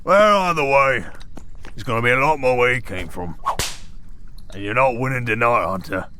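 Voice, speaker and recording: A man's voice: mid-range voice, expressive, normal speed, background noise, close to an everyday voice. A man playing a cocky, goading henchman in an audio drama, with a folksy, swaggering way of taunting. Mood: menacing, confident. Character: cocky, goading male villain henchman in combat. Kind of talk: scripted audio roleplay drama.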